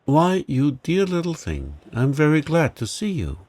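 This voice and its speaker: A man's voice: a little high, huge pitch swings, measured pace, darkish tone, voice pushed up, heavily acted. A man voicing a polite, timid little girl in a storybook reading, speaking earnestly. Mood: surprised.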